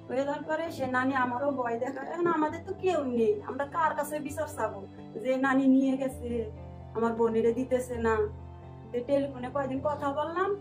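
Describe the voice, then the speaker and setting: A woman's voice: high voice, darkish tone. A woman with a regional Bangladeshi accent giving personal testimony in a plain-spoken, repetitive way. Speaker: guest telling of a lost younger sister. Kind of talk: emotional personal testimony in conversation.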